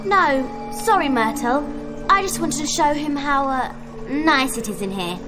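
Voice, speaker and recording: A woman's voice: high-pitched, animated, measured pace, over music. A woman with an English accent voicing a clever, earnest, bossy schoolgirl witch in a video game. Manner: earnest, explanatory. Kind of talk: video game cutscene acting.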